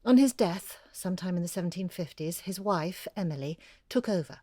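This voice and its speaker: A wry, confiding letter-writer. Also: female voice, fairly low pitch, lively intonation, a little fast, mellow, dry recording. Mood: measured. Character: Hilary, a woman letter-writer recounting local history. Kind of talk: audio drama, reading out an email.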